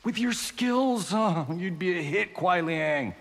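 An actor with an American accent playing a cocky, flippant Hollywood star fighter in a video game, slightly husky. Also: male voice, high voice, lively intonation, slow pace, heavily acted. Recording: long echoing reverb.

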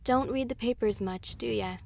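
An actress playing a young, quippy, rambling superheroine in an audio drama. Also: female voice, on the high side, moderate pace, vocal fry, slight background noise. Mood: cocky. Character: wisecracking young superheroine, lonely but cocky.

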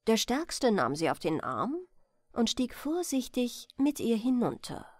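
A woman narrates a children's bedtime story. Her voice is fairly high and moves expressively, yet she takes her time. The sound is close and dry. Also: very animated, unhurried, a little mellow, dry recording. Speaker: storyteller reading a fairy tale.

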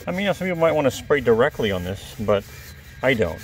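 A man with a soft, calm voice chatting casually to viewers while cleaning something outdoors on a lawn, filmed on a close-held camera. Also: medium pitch, fast talker. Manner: chatty, rambling asides to the viewer. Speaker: video creator. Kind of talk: casual how-to talk while working. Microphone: camera held close, point of view.

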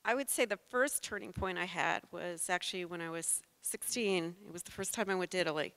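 A woman artist speaks on a gallery panel with a somewhat low, smooth and resonant voice. She tells her story in a reflective, unhurried way, holding a microphone. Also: a bit bright, poor audio. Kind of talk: personal reflection in a panel discussion. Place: art gallery, indoors. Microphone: handheld mic.

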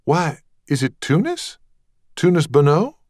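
A genteel young Southern belle from an audiobook, played by a man. The pitch is raised, and the manner is polite and full of curiosity. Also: big pitch swings, measured pace, a little mellow, no echo, clean studio sound. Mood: curious.

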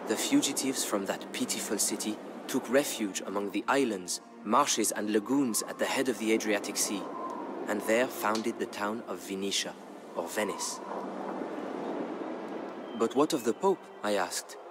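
A man with a fairly low voice narrates a historical saga. His delivery is solemn and measured, like scripted storytelling for a game campaign, with music clearly playing behind him. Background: background music.

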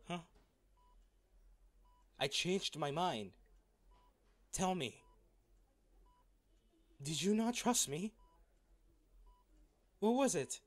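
Male actor as devoted wealthy lover, distraught, lightly high-pitched male voice, slow halting delivery, earnest, intimate audio roleplay. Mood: questioning, hurt. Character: wealthy man, devoted and distraught, confessing his love.

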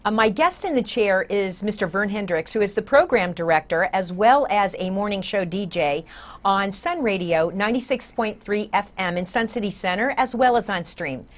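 A woman's voice: medium pitch, animated, moderate pace. Older American woman hosting an interview. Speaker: talk show host. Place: small indoor TV studio.

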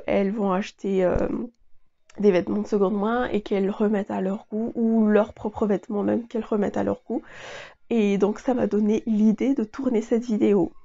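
A woman's voice: fairly high pitch, gravelly, warm tone, dull audio. A young woman with a cold, sounding nasal, chatting to camera in a sewing vlog with lots of fillers and restarts. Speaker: sewing vlogger. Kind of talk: casual talk to camera. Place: small bedroom sewing corner, indoors.

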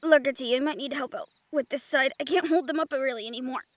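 A woman's voice: sits high, quick pace, a bit bright, dry recording. A woman playing a foul-mouthed, protective young woman in an audio roleplay, brash and curious. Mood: excited. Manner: swears freely, brash and eager.

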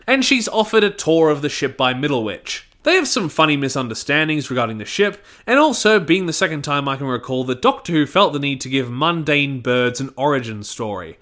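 A man's voice: fairly high pitch, sing-song, a little fast, few pauses, bright, forceful tone. A man reading a scripted review of an audio drama in a casual, wry manner.

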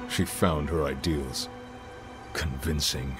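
A grim male wanderer in a dark fantasy game. His extremely deep voice is slow and solemn, over prominent music. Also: fairly even intonation. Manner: terse, solemn.

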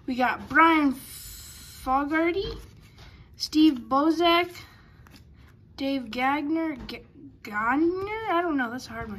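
A woman with a high, light voice talks casually while sorting trading cards at a table. She is chatty and often trips over hard player names. A faint hum sits quietly behind her. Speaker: card collector. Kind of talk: casual card-opening commentary. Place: indoors at a table.

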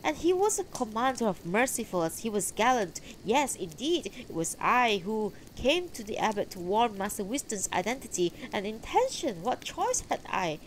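A woman's voice: a little high, sing-song, normal speed, few pauses, slight background noise, pitched above the performer's own voice, poor audio. A woman voicing a proud, blustering old male knight in a fantasy story, formal and indignant. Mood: defensive, indignant.

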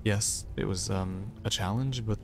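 A man's voice: on the low side, a little slow, vocal fry, noisy background. A man playing an easygoing, blunt Roman soldier and hunter in a fantasy audio drama. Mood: breezy. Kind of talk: immersive fantasy roleplay audiobook acting.

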